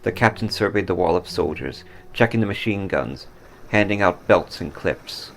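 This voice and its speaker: Low, gravelly, dramatic male storyteller. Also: animated, moderate pace, a little hiss or hum. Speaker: author reading own story. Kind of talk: dramatic scripted story narration.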